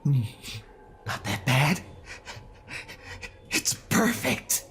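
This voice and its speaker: An actor playing a deranged, disfigured teenage boy, giddily insane, in a horror audio drama, with drawn-out words and manic delivery. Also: mid-range voice, very animated, a bit bright, full character voice. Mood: eerie delight. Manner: unhinged, slow drawn-out words, manic laughter. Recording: music under the voice.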